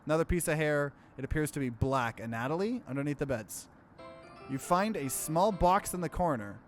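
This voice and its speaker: Higher-voiced man narrating a game playthrough. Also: lively intonation, normal speed, faint music behind. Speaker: let's play host. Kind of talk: gaming commentary and reading game text aloud.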